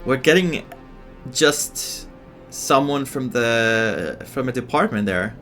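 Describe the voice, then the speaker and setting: A male gaming streamer with a mid-pitched voice, commenting casually and reacting with amusement. He takes his time between words but his intonation is lively, with faint music behind him. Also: slow.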